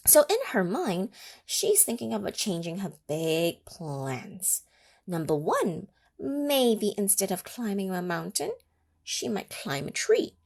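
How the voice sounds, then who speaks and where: A woman reading a picture book aloud to children in an expressive, gently encouraging way, stopping to ask her listeners questions. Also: fairly low pitch, husky. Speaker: storytime reader.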